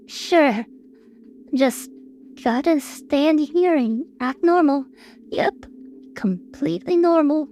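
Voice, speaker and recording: A woman's voice: high voice, expressive, slow pace, pauses often, dark tone, a little hiss or hum. A woman playing a timid, conscientious young streamer in a scripted audio drama, hesitant and anxious. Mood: guilty, nervous. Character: timid, conscientious, gentle young female streamer, easily guilt-ridden.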